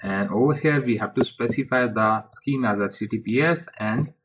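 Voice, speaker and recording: A man presenting a software tutorial step by step in an informal way, speaking haltingly in short phrases. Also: mid-range voice, a little hiss or hum, poor audio.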